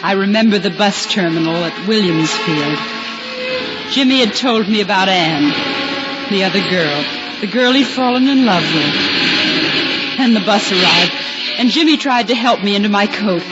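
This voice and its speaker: A woman's voice: mid-range voice, expressive, measured pace, long pauses, over loud music, lightly acted. An actress playing a fragile, pensive young American woman in a 1950s radio drama, speaking in a dramatic, reflective way. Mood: tense recollection. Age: young adult. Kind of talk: 1950s radio drama acting and first-person narration. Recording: muffled old radio transcription.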